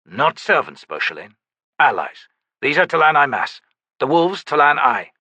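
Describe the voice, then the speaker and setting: A disciplined knight commander, voiced by a male narrator speaks in a raised, firm, courteous tone with crisp, formal phrasing. His voice sits noticeably higher and louder than the narration, and the recording is dry and close. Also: very animated, normal speed, no echo, exaggerated voice.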